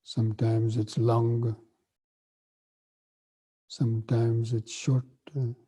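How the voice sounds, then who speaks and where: A man guiding a breathing meditation, speaking softly and evenly with long pauses between phrases. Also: mid-range voice, husky. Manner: slow, soft and even, with long pauses. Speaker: meditation teacher.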